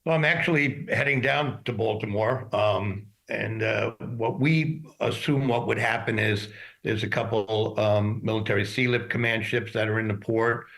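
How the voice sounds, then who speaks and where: Low-voiced man, halting expert commentary. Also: fairly even intonation, creaky, fairly bright tone. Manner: halting, explanatory, matter-of-fact. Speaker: shipping executive as expert guest. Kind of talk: unscripted expert commentary in a news interview.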